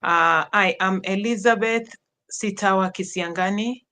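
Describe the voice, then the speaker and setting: A woman with a Kenyan accent, a teacher, telling her story as an interview guest, slow and halting with many pauses. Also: mid-range voice, sharp tone. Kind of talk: personal testimony in an interview.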